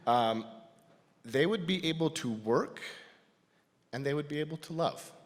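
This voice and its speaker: A man giving a personal, reflective talk to a congregation as a lay speaker, in a thoughtful, halting manner. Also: fairly high pitch, animated. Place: large indoor church space. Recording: reverberant hall sound.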